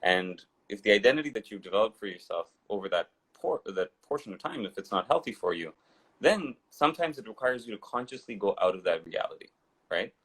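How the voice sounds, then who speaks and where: A man's voice: fairly low pitch, expressive, a little fast, a bit bright, slightly rough, low-quality recording. A man in his thirties, raised in Dubai and India, telling his life story as an interview guest with a digressive, restart-filled way of talking. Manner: digressive, rambling with frequent restarts.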